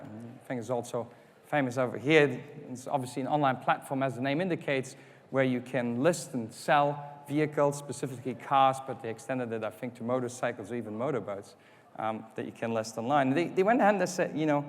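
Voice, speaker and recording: A man whose first language is not English, giving a conference keynote, explaining step by step and asking rhetorical questions. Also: medium pitch. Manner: explanatory, rhetorical questions, acts out customer quotes. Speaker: conference speaker. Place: conference hall. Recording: hall reverb.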